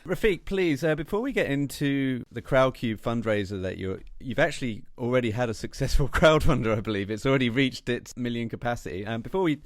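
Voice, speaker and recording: A man's voice: medium pitch, expressive, fairly quick, few pauses, no echo, studio quality. A man hosting a podcast in a chatty, tongue-in-cheek way as he presents and interviews.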